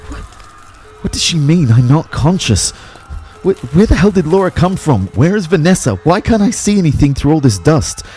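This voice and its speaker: A man's voice: a little high, big pitch swings, normal speed, darkish tone, faint music behind. A man acting a dazed young man who narrates a disaster in the first person, as dramatic scripted storytelling. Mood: panicked.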